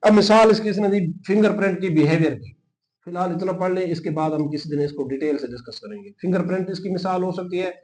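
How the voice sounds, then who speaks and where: A man's voice: high voice, a little mellow, low-quality recording. A man with a Pakistani accent teaching informally, explaining and dictating notes, repeating key terms and mixing in English technical words. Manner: mixes English legal terms into Urdu speech. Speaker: teacher of a law exam-prep class.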